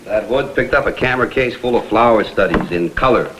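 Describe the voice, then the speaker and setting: An actor playing a cool, deliberate investigator, talking in a measured, matter-of-fact way in a vintage crime drama. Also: male voice, lowish voice, lively intonation, background noise, natural voice. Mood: dry, explaining.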